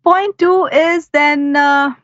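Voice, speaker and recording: A woman's voice: high voice, fairly even intonation, slow, fairly bright tone, no echo. Earnest woman voice artist, lo-fi audio. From India. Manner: earnest, practical, gives advice step by step. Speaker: voice-over artist, guest. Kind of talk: interview answers. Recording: slightly dull, lo-fi audio.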